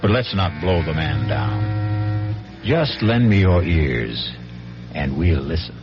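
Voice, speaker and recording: Guy hosting old radio mystery, real slow and wry, stagey, muffled sound. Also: a bass voice, big pitch swings. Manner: wry, wordplay-laden, theatrical. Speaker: radio drama host. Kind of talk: scripted theatrical introduction. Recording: dull old radio broadcast, music behind.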